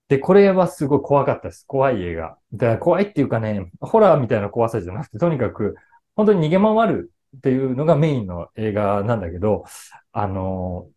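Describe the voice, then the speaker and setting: Middle-aged male, casual explainer for an online fan audience; mellow timbre, animated pitch movement, searching for words as he goes. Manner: explanatory, conversational, with frequent pauses to search for words. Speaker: voice actor and actor talking to his fan club. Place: small indoor room with a patterned wall, video call.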